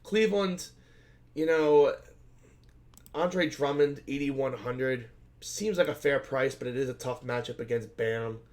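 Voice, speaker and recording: Male host, voice scratchy and hoarse after a loud night, casual rambling analyst who thinks aloud, middle-pitched. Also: measured pace. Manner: conversational, filler-heavy, thinks aloud and self-corrects. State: hoarse, scratchy voice from shouting at a game. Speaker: fantasy basketball analyst host. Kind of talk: solo sports betting slate breakdown.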